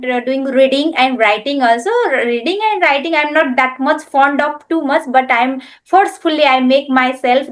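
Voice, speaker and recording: A middle-aged woman from Nepal, a Nepali speaker, chatting on a video call in learner English, halting and full of fillers and restarts. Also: high voice. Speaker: guest.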